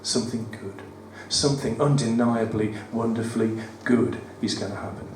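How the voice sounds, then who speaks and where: A man with a West Midlands accent and a croaky voice, reading his poems at a live event with wry, self-deprecating banter. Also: on the low side, fairly even intonation, moderate pace, a little mellow, recorded from a distance. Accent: West Midlands English. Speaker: performance poet. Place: studio theatre at a live cabaret event. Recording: roomy live venue, mic from afar, audible room noise. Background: audience ambience.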